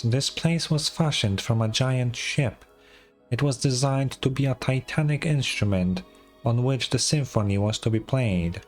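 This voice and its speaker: A man's voice: mid-range voice, natural voice. Man reading as a solemn, formal ancient game character. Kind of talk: video game dialogue read aloud.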